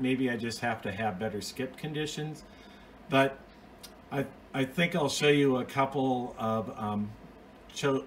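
A man's voice: medium pitch, a little hiss or hum. A man giving an informal, hands-on explanation as a hobbyist video host, plain-spoken and methodical, recorded on a phone at home. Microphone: phone, off camera.

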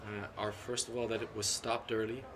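Measured man in a flat, low voice. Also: a crowd in the background. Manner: measured, analytical, many restarts and ums. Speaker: interventional cardiologist guest. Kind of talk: conference panel interview. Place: seated at a conference booth indoors. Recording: constant conference hall ambience.

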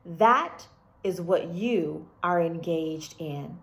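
A woman's voice: lowish voice, creaky, a bit bright. A middle-aged woman, a museum director giving a prepared, earnest address from a home office on a webcam. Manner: slow, measured, earnest. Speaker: museum director, guest speaker.

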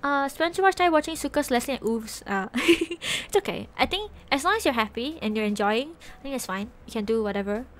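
A woman's voice: high voice, lively intonation, a little fast, hardly any pauses, a bit bright. A young woman from Singapore with a Singaporean English accent, chatting casually with viewers on a live stream from her bedroom, giggling often and trailing off mid-sentence. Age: young adult. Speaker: Twitch streamer. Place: small bedroom, indoors. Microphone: desk microphone with headset.

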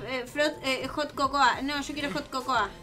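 A woman's voice: high-pitched, fairly even intonation, normal speed, sharp tone. A young adult woman with an Argentine Rioplatense accent chatting casually and playfully as a gaming host, with exclamations and banter. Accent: Argentine Rioplatense Spanish. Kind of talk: casual playful gameplay chat. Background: faint music.

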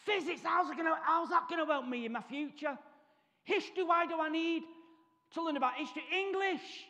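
A Mancunian man in his fifties tells his life story from a church stage, his voice pitched unusually high. He keeps the room laughing with comic asides and snaps into other people's voices. A long reverb from the hall trails behind his words. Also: fairly quick, pushed and bright. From Salford, Manchester. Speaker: guest speaker. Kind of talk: testimony talk at a church service.

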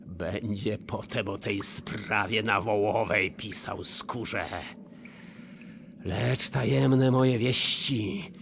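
An actor playing a scheming, miserly old notary in a verse radio play, wheedling and falsely sweet. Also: male voice, on the low side, drawn-out words, gravelly, noisy background, heavily acted. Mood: vengeful.

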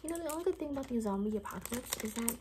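A young woman doing an ASMR roleplay in soft, half-whispered, gossipy rambling chat. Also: on the high side, animated, racing, a little hiss or hum. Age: young adult. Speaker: ASMR performer. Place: small bedroom, indoors.

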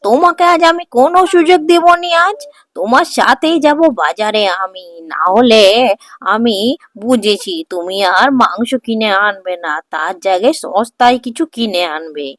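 A woman's voice: fairly high pitch, normal speed, fairly bright tone, no room sound, clean studio sound, close to an everyday voice. A woman voicing a doting, bossy older mother-in-law in a cartoon, fussing and emphatic. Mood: scolding. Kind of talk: cartoon voice acting.

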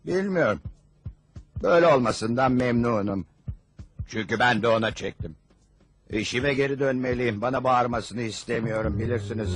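Male actor as cartoon narrator, medium pitch, wry and confiding, looking back on being a kid, music under it. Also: normal speed, long pauses, close to an everyday voice. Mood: wry, reflective. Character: wry grown man recalling his childhood, cartoon narrator. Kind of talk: first-person cartoon narration.

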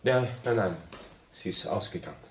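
An actor playing an earnest, uneasy young architect in a radio play, speaking in a hesitant, guarded way. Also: male voice, on the low side, animated, moderate pace, slight background noise, lightly acted. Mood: flustered. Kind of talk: German radio drama dialogue.

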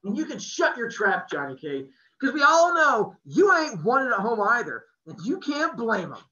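A man's voice: on the low side, steady tone, fast, bright, forceful tone, far from the mic, highs cut off, pitched below the performer's own voice. A man reading aloud, voicing a bitter, hot-tempered teenage boy who speaks in passionate outbursts.

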